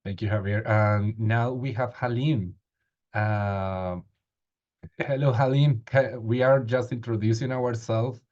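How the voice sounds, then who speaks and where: A man's voice: mid-range voice, fairly even intonation, takes it slowly, a little mellow, lo-fi sound. A man in his thirties hosting an online meeting, hesitant with many fillers, on a webcam. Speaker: meeting host. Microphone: video call webcam.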